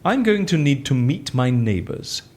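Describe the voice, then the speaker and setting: An actor playing an excitable, inquisitive schemer in an audio drama, with a theatrical, incredulous manner. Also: male voice, medium pitch, unhurried. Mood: resolved. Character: excitable, inquisitive man scheming inside a prison.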